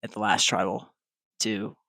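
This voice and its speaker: Man, lowish flat voice, halting finalist answering a jury. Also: no echo. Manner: slow, halting, many ums. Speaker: finalist in an online game.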